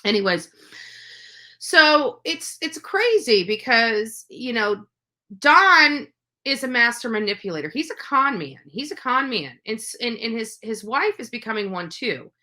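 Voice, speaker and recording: Bright, forceful, higher-pitched woman commentator. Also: sing-song, measured pace. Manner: emphatic, accusatory, repeats phrases for force. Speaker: livestream commentator on true crime cases. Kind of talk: unscripted opinionated livestream commentary.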